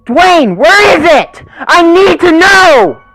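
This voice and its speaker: Male reader doing a raised-pitch, lively, bossy husky girl who snaps at her partner. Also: normal speed, over music. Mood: panicked. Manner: curt, impatient, snapping. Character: sharp-tongued bossy female husky. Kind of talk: storybook character voice.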